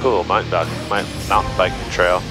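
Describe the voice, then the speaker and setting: A man's voice: low voice, fairly even intonation, normal speed. A man who is a pilot, chatting casually and making radio calls from the cockpit of a small plane. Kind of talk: casual chat and radio calls while flying. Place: small airplane cockpit in flight. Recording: loud music over cockpit audio.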